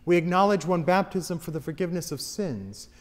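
A man leading a congregation through a creed in steady liturgical recitation. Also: a little high, a little fast. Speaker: worship leader. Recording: long church reverb.

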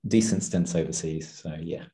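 A man's voice: low-pitched, moderate pace, mellow. A man with a New Zealand accent, a guest in a casual video-call interview, talking hesitantly with many ums and restarts through a headset mic. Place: small room with chalkboard, indoors.

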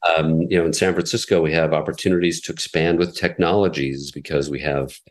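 A middle-aged American man, a radio executive, answering interview questions in a measured, explanatory way with frequent fillers. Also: very deep voice, steady tone. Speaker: classical radio station president, guest.

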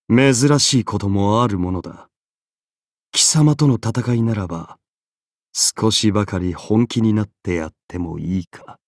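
A man's voice: medium pitch, no room sound, studio quality. An actor playing a haughty, easily bored golden-armored king in an anime game, pompous, imperious and condescending. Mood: quietly indulgent. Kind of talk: dramatic anime game character voice acting.

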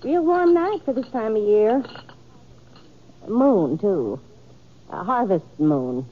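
Folksy husky old-west auntie, real slow n swoopy, tinny old radio. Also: female voice, on the high side, very animated, heavily acted. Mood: homely, nudging. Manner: drawn-out, homely, rustic. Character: folksy, slow-spoken matchmaking frontier aunt. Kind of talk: 1950s radio western acting. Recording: tinny 1950s radio recording.

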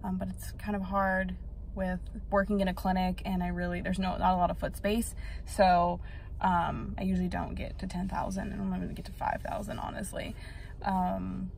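A woman's voice: fairly low pitch, monotone, normal speed, creaky, darkish tone, a little hiss or hum. A young woman talking casually into her phone camera, rambling about her day with frequent ums. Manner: chatty, rambling, lots of ums. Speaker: vlogger. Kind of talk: casual day-in-the-life vlog. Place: inside a parked car, then a home kitchen. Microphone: phone camera at arm's length.

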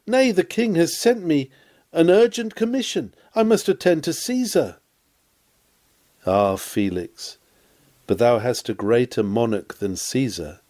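A man's voice: medium pitch, dark tone. A man reading a historic sermon aloud in a solemn, declamatory, exhorting manner with dramatic archaic oratory.

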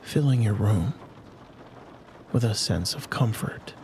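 A man narrating a guided sleep hypnosis in a soothing, unhurried manner with long pauses. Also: fairly low pitch, animated, darkish tone, a little hiss or hum. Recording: rain and thunderstorm sounds behind the voice. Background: rain and distant thunder ambience.

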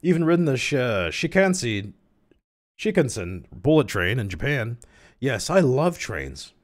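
A man doing a globetrotting lady reporter, high, chatty, self-promoting, storybook romance heroine. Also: very animated, measured pace. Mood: bright, eager. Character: chatty, flirtatious woman travel reporter, proud globetrotter.

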